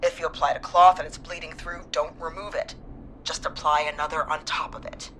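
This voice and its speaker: An actress playing a calm, no-nonsense nurse in a video game, giving direct, measured instructions. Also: female voice, low-pitched, a little hiss or hum. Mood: firm, instructive. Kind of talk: dramatic video game voice acting.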